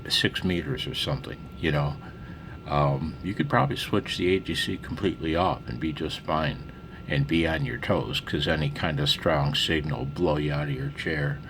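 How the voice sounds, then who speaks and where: A man's voice: extremely low pitch, fairly bright tone, a little hiss or hum. A man giving a casual hands-on radio tip in a salty, colloquial, folksy way.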